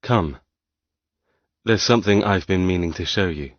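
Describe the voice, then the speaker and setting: A man's voice: sits low, normal speed, no room sound, highs cut off. A man playing a possessive, commanding firstborn brother in an audiobook, speaking in a clipped, domineering way. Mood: calm command. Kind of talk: audiobook character dialogue.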